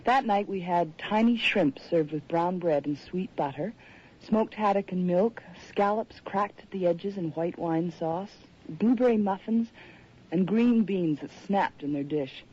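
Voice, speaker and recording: Radio drama lead: literate, uneasy woman writer, dramatic measured phrasing, muffled old broadcast sound. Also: fairly low pitch, darkish tone, husky. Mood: reflective. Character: polite, uneasy woman writer on a seaside holiday. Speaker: narrator and lead character. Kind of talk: old-time radio drama acting, reflective narration.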